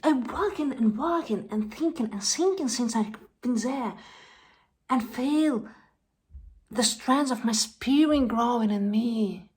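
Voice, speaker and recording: A woman performs a distraught young actress in a stage drama monologue. Her voice is high and breathy, moving slowly and haltingly between hushed and loud delivery. She is worn out, yet clings to faith. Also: rough recording. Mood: resolute. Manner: halting, repeats words, swings from hushed to loud.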